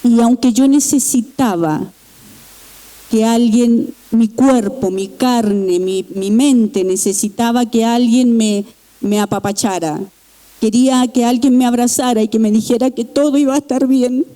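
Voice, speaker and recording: A middle-aged woman from Chile, giving an earnest, halting personal testimony in church with pauses and restarts. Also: a little high, warm tone. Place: church sanctuary.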